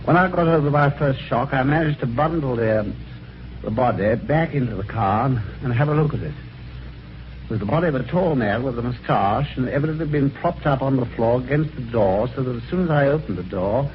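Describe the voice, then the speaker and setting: An actor playing a well-mannered, slightly dim British husband in an old-time radio mystery, talking in a chatty, hesitant, old-fashioned way. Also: male voice, medium pitch, lightly acted. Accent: British English. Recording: dull, faintly noisy vintage radio recording.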